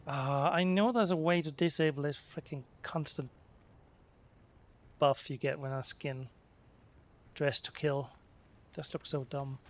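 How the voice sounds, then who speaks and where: A man's voice: fairly high pitch, steady tone, unhurried, long pauses. A man giving casual gameplay commentary, muttering to himself as he plays. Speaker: let's player. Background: game music.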